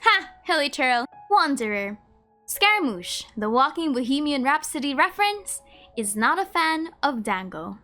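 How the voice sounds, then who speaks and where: Female video host, high-pitched and animated, playful scripted narration with chatty asides. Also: measured pace, soft background music. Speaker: host of a game trivia video.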